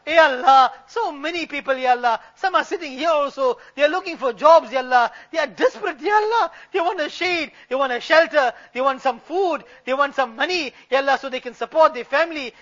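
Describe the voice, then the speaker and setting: Man, very high and bright, fervent prayer leader with a pleading, repetitive delivery; dull lo-fi recording. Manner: fervent, pleading, repeating the refrain. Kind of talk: impassioned supplication. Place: gathering of worshippers.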